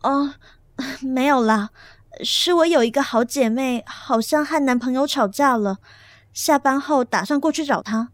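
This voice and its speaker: Casting: high-pitched young female office worker, lovestruck and easily flustered, hesitant, echoing room with music behind. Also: a little slow. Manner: hesitant, full of little interjections. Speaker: voice actor in radio drama. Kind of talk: modern urban romantic comedy radio drama acting. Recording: roomy reverb, music behind.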